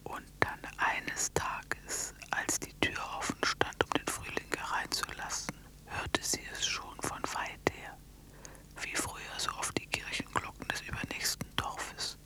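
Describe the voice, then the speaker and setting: An actress playing a tiny shrunken old woman, telling a strange fairy tale in a breathy whisper for radio drama. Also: unhurried, close to an everyday voice, low-quality recording. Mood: hushed, dreamy. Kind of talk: slow dramatic storytelling in a radio play.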